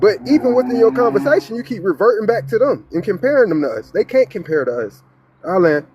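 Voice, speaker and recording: A man's voice: high-pitched, very animated, fast talker, highs cut off, slight background noise. A man panelist arguing his point insistently, in a lecturing way with repeated words. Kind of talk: heated argument.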